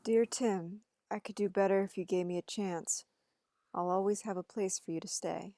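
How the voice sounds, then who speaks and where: A woman's voice: medium pitch, steady tone, normal speed, mellow, dry recording, lightly acted. A woman playing an incredulous, easily startled young man in an amateur table read. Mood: subdued.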